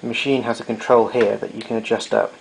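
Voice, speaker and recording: A man's voice: on the low side, level tone, fast talker, creaky, a little hiss or hum, low-quality recording. A man giving an unscripted technical demonstration in a matter-of-fact, somewhat hesitant way. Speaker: demonstrator of vintage tape recorder.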